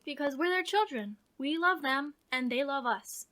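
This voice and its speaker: A woman's voice: high-pitched, animated, moderate pace, sharp tone. A woman playing a sensible young girl, a protective elder sister, in a radio drama, with an earnest, plain-spoken manner. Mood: urgent, earnest.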